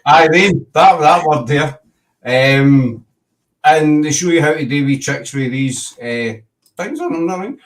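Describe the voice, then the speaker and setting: A man's voice: on the high side, lively intonation, a little slow. A man with a Glaswegian Scottish accent hosts a loose livestream chat. He rambles, restarts his sentences and keeps breaking off to greet viewers. His voice sounds a little distant from the mic. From Scotland. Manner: rambling, self-interrupting, chatty with viewers. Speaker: host.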